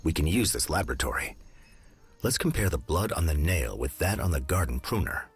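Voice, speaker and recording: Very deep-voiced serious male detective. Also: lively intonation, slightly rough, soft background music, heavily acted. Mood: determined. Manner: measured, serious. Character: composed, grave male detective, observant and serious. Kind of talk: video game cutscene acting.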